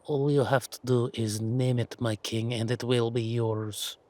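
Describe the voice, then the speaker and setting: A man's voice: medium pitch, steady tone, measured pace, mellow, close to an everyday voice. A man playing a flustered, obsequious duke in an audio roleplay, stammering and deferential. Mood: nervous, fawning. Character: nervous, obsequious male duke, fawning and flustered.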